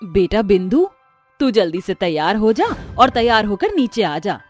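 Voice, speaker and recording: A woman voicing a gentle, worried, humble ageing mother in a moral-story cartoon, with a plaintive manner. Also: medium pitch, lively intonation, unhurried, soft background music. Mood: worried, tender.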